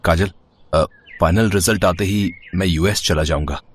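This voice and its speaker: Man acting an earnest young boyfriend, low voice. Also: normal speed, slight background noise, natural voice. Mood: earnest, reassuring. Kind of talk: animated Hindi story dialogue.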